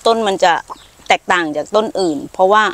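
Middle-aged woman explaining trees outdoors. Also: medium pitch. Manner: unhurried, explanatory, hesitant fillers. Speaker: farm owner showing trees. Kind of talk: casual guided walk explaining trees. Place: outdoors on a farm among trees.

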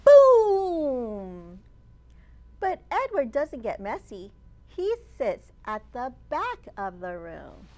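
A woman in her thirties, a children's librarian hosting storytime, reading aloud expressively and deliberately with dramatic emphasis for young children. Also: high voice, wildly swooping pitch, darkish tone. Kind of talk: animated read-aloud of a picture book for young children. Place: open library children's area, indoors.

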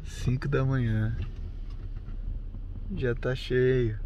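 A man's voice: mid-range voice, flat delivery, very slow, warm tone, a little hiss or hum. A man with a Brazilian Portuguese accent, chatting casually as he films a travel vlog outdoors, mixing in English phrases. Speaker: travel vlogger. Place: outdoors by a mountain lake and forest trail.

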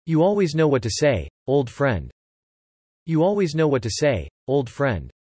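A man's voice: mid-range voice, wildly swooping pitch, a little mellow, a little hiss or hum. Man reading an English lesson. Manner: repeats each sentence slowly and evenly. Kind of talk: reading example sentences and definitions aloud.

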